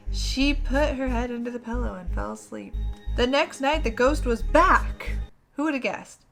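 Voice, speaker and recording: A woman co-host reading stories aloud dramatically, expressive and playful, often breaking off into casual asides. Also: a little high, normal speed, vocal fry, faint music behind. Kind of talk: dramatic story reading mixed with casual banter.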